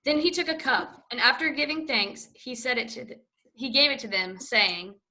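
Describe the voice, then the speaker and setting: A woman narrating a scripture reading in a steady, even read-aloud way. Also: sits high, moderate pace, poor audio.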